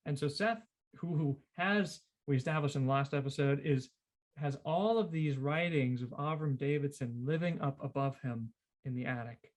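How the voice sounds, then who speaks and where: A man's voice: on the high side, moderate pace. A man hosting a casual, chatty podcast, enthusiastic and inclined to repeat his phrases. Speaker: podcast host.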